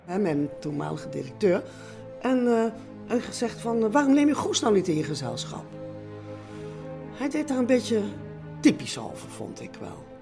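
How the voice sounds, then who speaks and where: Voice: medium pitch, sing-song, normal speed, vocal fry, pauses often, over music. A person telling anecdotes in an interview in a hesitant way, acting out quoted exchanges.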